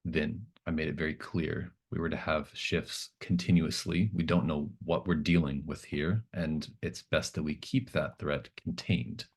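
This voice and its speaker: A man's voice: very deep voice, fairly even intonation, breathy edge, full character voice. A man voicing a stern, businesslike woman in charge of a camp, speaking firmly and formally in a tabletop game. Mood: stern, controlled. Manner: firm, measured, formal.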